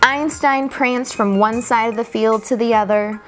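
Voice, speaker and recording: A woman reading a children's picture book aloud as a storyteller, expressively and deliberately, drawing out key words for emphasis. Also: a little high, steady tone, a bit bright, soft background music.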